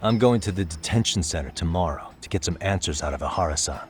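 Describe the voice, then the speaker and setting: A man playing a calm but insistent investigator in a dramatic game cutscene, pressing for answers in a serious, deliberate way. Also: deep voice, a little hiss or hum. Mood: probing. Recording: dramatic music behind.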